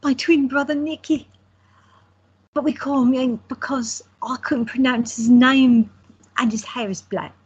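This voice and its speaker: A woman plays an excitable young woman who blurts out whatever she feels. Her voice is very breathy and husky and sits fairly high. She talks with eager, bubbling energy. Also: a little slow, muffled recording, full character voice. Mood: excited.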